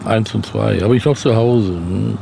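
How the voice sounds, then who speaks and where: A man's voice: lowish voice, unhurried, creaky, warm tone. An older man, an author being interviewed, talking in an easygoing way and murmuring agreement. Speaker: guest author. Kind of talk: casual conversation. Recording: background vehicle noise.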